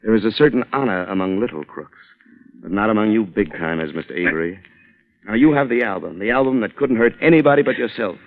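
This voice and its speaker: A shrewd, defiant newspaperman in a vintage radio thriller. His voice sits fairly low, and he talks in a pointed, taunting, hard-boiled way. It has the sound of an old radio broadcast. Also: animated, quick pace, poor audio. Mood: goading. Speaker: lead actor and narrator. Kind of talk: old-time radio suspense acting.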